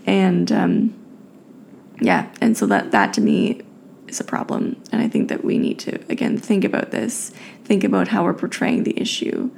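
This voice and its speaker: A tired young woman with a raspy voice, hosting a solo podcast and thinking aloud with many fillers and restarts. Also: mid-range voice, dark tone, slight background noise. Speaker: podcast host.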